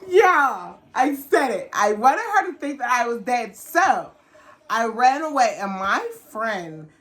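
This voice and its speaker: A woman vlogger telling a personal story in a casual, animated, slangy chat, acting out what people said. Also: on the high side, takes it slowly. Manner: animated, slangy, candid storytelling with acted-out quotes.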